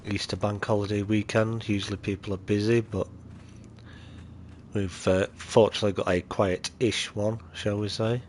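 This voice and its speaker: A man's voice: fairly low pitch, level tone, normal speed, a little hiss or hum. A British man streaming a game live, chatty and greeting his viewers, sounding nasal and chesty from allergies. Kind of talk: live stream gameplay commentary.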